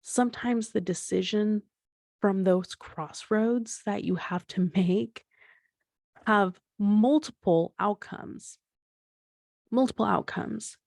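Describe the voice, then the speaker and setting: A woman's voice: medium pitch, slow, vocal fry, a little mellow, dry recording, clean studio sound. A woman in her thirties hosting a solo podcast, talking things through in a rambling, reflective way with frequent restarts and fillers. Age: 30s. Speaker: podcast host.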